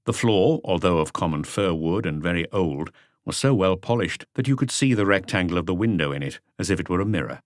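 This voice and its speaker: Low-voiced, animated male narrator. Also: fairly quick, dry recording, studio quality. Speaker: audiobook narrator. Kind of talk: scripted story narration.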